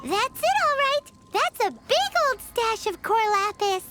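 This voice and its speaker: A squeaky, feisty fairy girl. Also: sing-song, measured pace, pushed and bright, exaggerated voice. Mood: eager. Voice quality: squeaky, childlike. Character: tiny, feisty, childlike floating fairy companion girl. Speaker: game character voice actor. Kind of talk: video game dialogue acting. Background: music.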